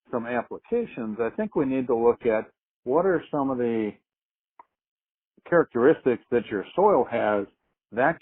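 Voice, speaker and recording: Phone-line man explaining in business style. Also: mid-range voice. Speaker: agricultural marketing manager presenting on a conference call. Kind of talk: explanatory business conference call talk. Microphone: telephone conference call. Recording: narrow, phone-like conference line.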